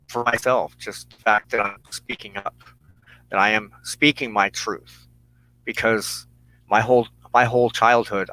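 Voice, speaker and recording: An American man giving an earnest personal monologue as a broadcast host, speaking in deliberate, halting phrases with emphatic pauses. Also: medium pitch, pushed and bright, smooth, poor audio. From United States. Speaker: host of a weekly religious broadcast.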